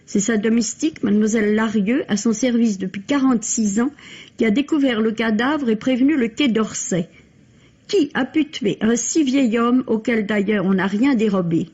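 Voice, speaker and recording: A woman's voice: a little high, normal speed, a little mellow, slightly rough. A woman reading a scripted literary review on the radio, with formal, elegant phrasing. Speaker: book reviewer. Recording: dull, lo-fi vintage radio recording.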